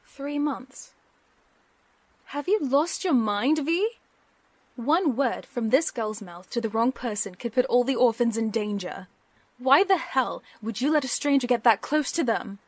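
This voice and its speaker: A woman playing a sassy, flirtatious and dangerous villainess in an audio roleplay, protective and suspicious, with a husky voice and sharp-tongued teasing. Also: a little high, animated, measured pace, dry recording. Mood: alarmed anger. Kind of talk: audio roleplay drama acting.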